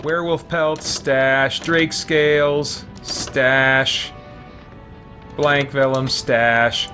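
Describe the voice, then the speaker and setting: A man's voice: medium pitch, little pitch movement, drawn-out words, pushed and bright, faint music behind. A man hosting a gaming video with casual commentary, thinking aloud as he talks to himself.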